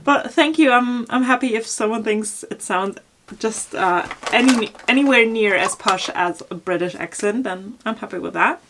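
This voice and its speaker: A woman's voice: a little high, moderate pace, a little hiss or hum. A woman from Germany with a German accent answering viewer questions in a casual fashion vlog, chatty and full of ums and likes. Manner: chatty, many ums and likes, laughs softly. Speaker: fashion YouTuber.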